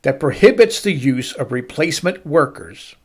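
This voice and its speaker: A middle-aged man hosting a baseball show, telling a story to listeners with emphatic, dramatic pauses and stretched-out words. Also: a little high, lively intonation, husky. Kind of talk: storytelling sports commentary to listeners.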